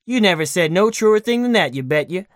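A man's voice: sits high, animated, brisk, no echo, clean studio sound, pitched above the performer's own voice. A man voicing a gloating river thief who mocks a captive in a raised, sneering voice for a storybook reading. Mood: mocking gloat. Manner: mocking, gloating. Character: gloating, cool-headed river thief with a lantern.

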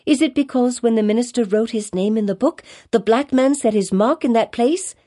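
A lively little girl played by a woman narrator, pitched high and full of curiosity. She exclaims and questions insistently, with a playful, pert air from an old storybook. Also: normal speed, close to an everyday voice. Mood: eager, inquisitive. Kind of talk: dramatized audiobook reading in archaic English.

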